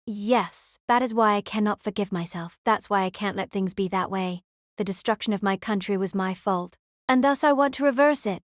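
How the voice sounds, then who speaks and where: A woman's voice: mid-range voice, moderate pace, no echo, studio quality, natural voice. A woman playing a proud, earnest young knight king spirit in an audio drama, haughty and formal in her delivery. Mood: solemn pride.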